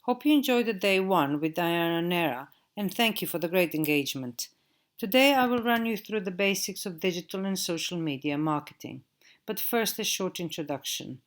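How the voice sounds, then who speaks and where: A middle-aged woman from Croatia speaks with a Croatian accent in a slightly low voice. She delivers a steady recorded lecture as a digital marketing trainer and slows down to spell out web addresses. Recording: audio-only recording.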